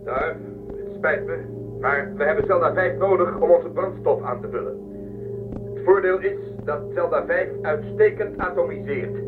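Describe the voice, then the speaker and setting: Actor as a distant, coolly threatening starship commander. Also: male voice, fairly high pitch, normal speed, narrow, tinny audio, natural voice. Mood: coolly formal. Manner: formal, matter-of-fact, commanding. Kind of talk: science-fiction radio play acting. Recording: distant, band-limited transmission with music behind.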